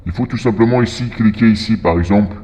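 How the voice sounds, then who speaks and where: A man giving an informal software tutorial through a demon voice-changer effect, rambling and thinking aloud. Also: quick pace. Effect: demon voice changer: lowered pitch, reverb. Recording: long echoing reverb from effect.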